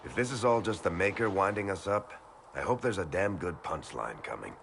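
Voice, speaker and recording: An actor playing a roguish, wry storyteller in a fantasy game, casual and sardonic with a gravelly voice. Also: male voice, low-pitched, expressive, brisk, fairly bright tone, a little hiss or hum, full character voice. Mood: grim, sardonic. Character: roguish, wry male storyteller adventurer, good-hearted. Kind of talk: fantasy video game voice acting.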